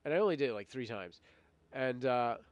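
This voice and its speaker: A man's voice: medium pitch, lively intonation, a little fast, clean tone, dull audio. A middle-aged man hosting a casual live stream while fighting a cold, rambling with fillers and restarts. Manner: rambling, many fillers and restarts, laughs often. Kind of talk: casual live-stream chat, reading viewer comments.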